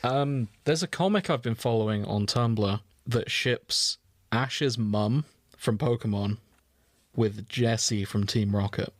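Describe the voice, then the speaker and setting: A man's voice: lowish voice, takes it slowly, dry recording. A man co-hosting a podcast in casual chat, wandering off on tangents as he talks. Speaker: podcast co-host.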